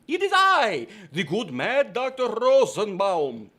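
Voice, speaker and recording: Male, theatrical mad scientist horror host, high-pitched, wildly animated, old-time radio parody. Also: takes it slowly, exaggerated voice. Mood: grandly spooky. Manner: theatrical, put-on spooky delivery.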